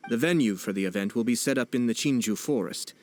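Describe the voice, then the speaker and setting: An actor playing a poised young nobleman in a video game, speaking in a formal, measured, politely wry way. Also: medium pitch, a little mellow, lightly acted. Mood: explanatory, calm. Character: poised young nobleman, courteous, composed and subtly teasing. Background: background music.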